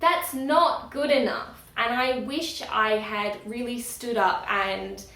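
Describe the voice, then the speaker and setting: A woman giving outspoken, emphatic commentary in a video blog, talking loudly and firmly about what she stands for. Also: fairly high pitch, slow, echoey room, low-quality recording. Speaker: video creator. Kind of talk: opinionated commentary vlog.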